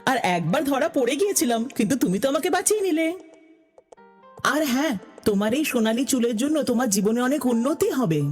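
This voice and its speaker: A woman voicing a proud, gentle magical unicorn in a cartoon fairy tale. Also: sits high, expressive, brisk, slightly rough. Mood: reassuring. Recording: long reverb, music behind the voice.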